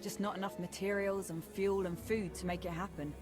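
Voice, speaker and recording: A woman's voice: medium pitch, steady tone, fairly quick, close to an everyday voice, rough recording. An actress playing a wry, battle-hardened female major in a video game, with dry, informal, clipped delivery. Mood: dry, sardonic. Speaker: military officer character in a video game. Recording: loud game music behind. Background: loud music.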